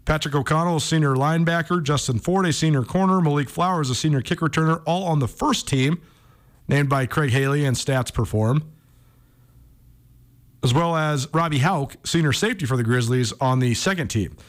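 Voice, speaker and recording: A man's voice: fairly high pitch, moderate pace. An American man hosting a sports talk radio show, commenting casually and thinking aloud as he goes.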